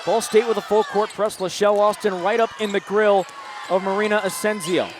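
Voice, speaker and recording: A man's voice: high voice, steady tone, a little fast. A man in his twenties doing live play-by-play sports commentary in short, punchy announcer phrases. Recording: noisy live venue sound.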